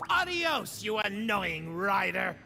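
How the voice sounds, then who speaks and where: A man's voice: sits high, very animated, creaky, bright, forceful tone, heavily acted. An actor playing a taunting, menacing villain in a video game, drawing out his words. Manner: slow, menacing, drawn-out delivery. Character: taunting male villain sneering at a young rider. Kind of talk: video game character acting.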